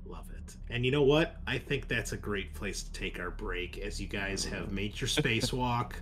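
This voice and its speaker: Male game master, casual and halting, pausing for weight, slight background noise. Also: fairly low pitch, a bit bright. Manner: halting, deliberate pauses, casual swearing. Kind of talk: casual narration of a tabletop roleplaying game.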